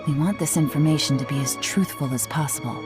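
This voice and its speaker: A cool, commanding woman agent, voiced by an actress gives orders in a low, composed voice. She sounds dry and in control. Game music plays clearly behind her. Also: quick pace, lightly acted. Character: cool, commanding female intelligence officer. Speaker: investigator character. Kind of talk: video game voice acting. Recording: game music bed under the voice.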